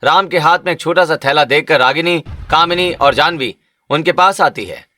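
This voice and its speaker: A man's voice: fairly high pitch, normal speed, sharp tone. A man narrating a scripted cartoon story as a storyteller.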